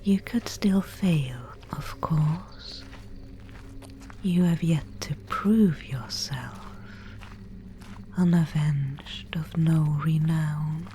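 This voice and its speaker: Woman acting as eerie fantasy spindle maid, very slow whisper, solemn, possessive, archaic phrasing, ASMR roleplay, faint noise behind. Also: low voice, warm tone, low-quality recording. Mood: calm, ominous. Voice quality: breathy whisper.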